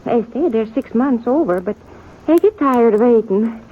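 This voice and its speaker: An actress playing an earnest, trusting young immigrant bride-to-be in a 1950s radio western, speaking broken English with a Swedish accent. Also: female voice, on the high side, expressive, normal speed. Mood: hopeful, simple. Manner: simple broken English, plain and stubbornly sincere. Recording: tinny, band-limited old radio recording.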